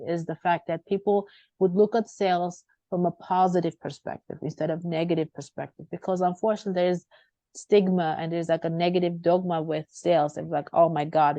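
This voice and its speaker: A woman's voice: on the low side, warm tone, no room sound. A middle-aged woman from Armenia, talking as an interview guest in a rambling way with many fillers and restarts. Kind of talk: conversational interview. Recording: dull lo-fi audio.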